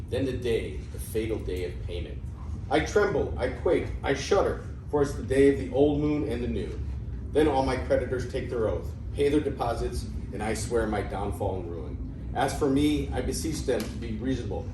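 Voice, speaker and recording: Man playing an excitable old father, echoey and distant. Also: mid-range voice, moderate pace, lightly acted. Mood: anxious, fretful. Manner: theatrical, exclamatory, stumbling over words. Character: excitable, anxious old debtor father, scheming and gloating. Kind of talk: amateur dramatic play reading. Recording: echoey room, distant mic, faint noise.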